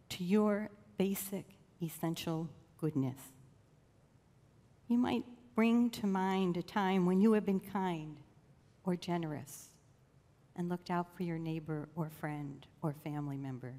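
A woman leading a guided meditation in a slow, gentle, soothing way with long pauses. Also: lowish voice. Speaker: church meditation leader. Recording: long reverb of a large room.

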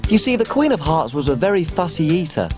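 A man's voice: fairly high pitch, big pitch swings, fast, over music. A man playing a tiny, cheerful cook who tells a children's storybook tale in a bouncy, sing-song way. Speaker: children's TV presenter.

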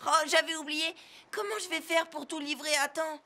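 A woman's voice: high voice, fairly even intonation, moderate pace, sharp tone. A woman voicing an anxious, good-hearted little mail car in a French children's cartoon, plaintive and earnest. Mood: worried. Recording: music bed behind the voice.

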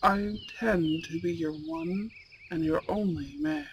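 A voice actor plays a suave, possessive young bad-boy boyfriend in an ASMR roleplay. He speaks very slowly and formally, with deliberate care. Crickets and nature ambience sit clearly behind him. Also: medium pitch, a little hiss or hum, close to an everyday voice. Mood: tender, earnest. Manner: slow, formal, deliberate phrasing, velvety menace. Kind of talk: ASMR romance roleplay, intimate scripted monologue. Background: crickets and outdoor nature sounds.

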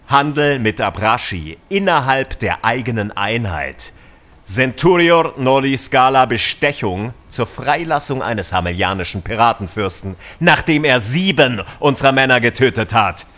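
An actor playing a stern, commanding veteran military general with a disdainful, formal manner in a science fiction audio drama. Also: male voice, mid-range voice, fairly bright tone. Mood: contemptuous, commanding.

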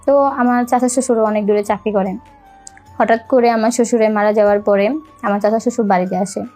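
A young woman with a Bangladeshi accent telling a personal story in a halting, repetitive, colloquial way. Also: medium pitch, fairly even intonation, dark tone. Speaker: storyteller recounting own life. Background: music.

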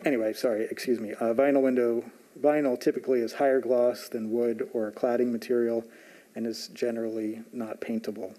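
A man on a zoning staff presenting a report at a public hearing, measured, with frequent "uh" fillers. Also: medium pitch, warm tone, poor audio. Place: large municipal meeting room.

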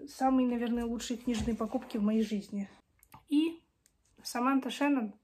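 A woman's voice: a little high, moderate pace, darkish tone, a little hiss or hum. A woman in her twenties chatting casually to camera as a book vlogger, her voice strained by a cold and sore throat. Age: 20s. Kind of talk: casual chat to camera about books. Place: small home room with bookshelves.

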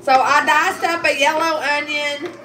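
A woman's voice: high-pitched, steady tone, unhurried, pushed and bright. A woman in her thirties with a head cold and a congested voice, chatting rambly as she cooks in her home kitchen. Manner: rambling, chatty, talks while chopping. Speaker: vlogger cooking at home. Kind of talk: casual cooking vlog chat. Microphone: phone camera set across the counter. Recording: distant phone mic with clear background noise.

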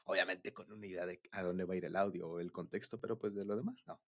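Low, husky young Mexican man. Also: fairly even intonation, fast. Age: young adult. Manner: rambling, giggly, informal with slang. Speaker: ASMR roleplay creator speaking as boyfriend. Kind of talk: casual intimate boyfriend ASMR chat.

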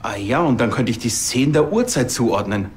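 A man's voice: medium pitch, expressive, a little fast, a little hiss or hum, natural voice. An actor playing an earnest, eager junior policeman in a TV crime comedy, explaining things.

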